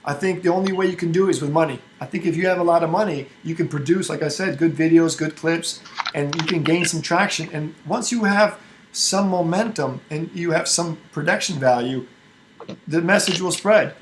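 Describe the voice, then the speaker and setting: Man from Albania with a high voice, forceful and argumentative in a group meeting, restarts his sentences a lot. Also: normal speed, a little hiss or hum, low-quality recording. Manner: emphatic, argumentative, with frequent restarts. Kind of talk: casual group discussion.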